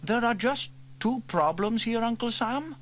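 A man's voice: very high-pitched, animated, unhurried, a bit bright, pitched above the performer's own voice, exaggerated voice. A man voicing a sensible, matter-of-fact young boy in a storybook reading.

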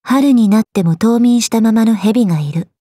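A woman voicing a cool, aloof, quietly caring gorgon swordswoman in a game, languid, deadpan and curt, with a soft, husky voice. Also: a little high, moderate pace, dry recording, clean studio sound. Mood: languid, teasing. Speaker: voice actress. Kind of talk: scripted Japanese mobile game character lines.

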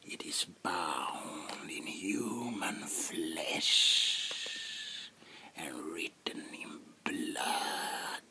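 A man playing a sinister demon in an ASMR roleplay, whispering slowly and theatrically with a gravelly, breathy menace. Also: low voice, animated, bright, forceful tone, full character voice. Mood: menacing, solemn. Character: sinister male demon brewing dark potions.

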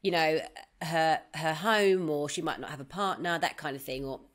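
A woman in her thirties talks from home over a headset. Her voice sits low, and she reads out listener questions before thinking aloud with plenty of "um"s. She sounds conversational and reflective. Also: moderate pace. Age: 30s. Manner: thoughtful, reads out listener questions and muses with fillers. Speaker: podcast co-host. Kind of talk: casual chat. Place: home, on a video call.

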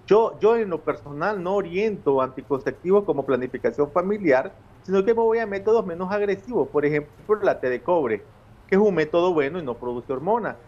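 Casting: fifties Nicaraguan man, doctor explaining, fairly high voice, slightly muffled video-call sound. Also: expressive, a little fast, a little mellow. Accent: Nicaraguan Spanish. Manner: explanatory, with frequent eh fillers and restarts. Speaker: gynecologist guest. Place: home office with bookshelves. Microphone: video call from home.

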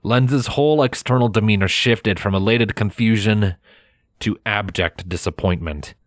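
A man reading scripted fiction as a narrator, measured and expressive in his storytelling. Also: lowish voice, no echo, clean studio sound. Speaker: narrator of a fan fiction audiobook. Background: music.

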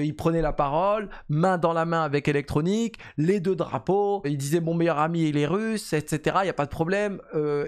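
A man in his thirties talks to camera about esports from his home streaming setup, speaking into a desk mic on a boom arm. His voice sits a little high for a man. He rushes along with plenty of fillers and repeated words. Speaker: esports YouTuber. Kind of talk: casual esports commentary to camera.

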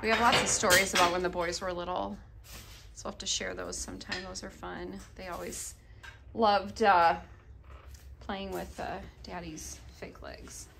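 A woman's voice: fairly low pitch, fairly even intonation, normal speed. A woman with a North American accent chatting casually and playfully as she films a vlog in a garage workshop, holding the camera. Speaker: vlogger filming her partner. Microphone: handheld camera, held by speaker. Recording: clear background noise.

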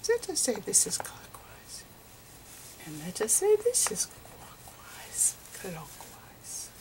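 Slow whispering woman, ASMR lecture. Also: mid-range voice, wildly swooping pitch, darkish tone. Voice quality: whispery, breathy. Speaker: ASMR performer playing a physicist. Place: close over a chalkboard on a table indoors.

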